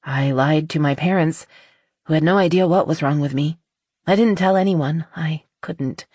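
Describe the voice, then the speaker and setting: An audiobook narrator voicing a nervous, earnest middle-aged woman confessing, with a halting, shaky delivery. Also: mid-range voice, a little mellow, dry recording, clean studio sound, natural voice. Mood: regretful.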